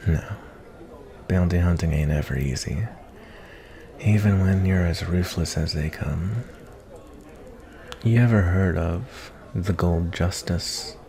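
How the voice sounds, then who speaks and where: A man playing a gentle, reflective cowboy bounty hunter in a softly spoken fantasy ASMR roleplay, talking in a folksy, kindly, rambling way. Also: slow pace, pauses often, full character voice. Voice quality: low, gravelly.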